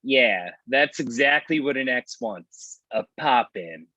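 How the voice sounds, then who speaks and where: A man's voice: mid-range voice, animated, slow, sharp tone, heavily acted. A man playing a needling, wisecracking friend in a comedy table read, heard through a dull online-call connection. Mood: teasing.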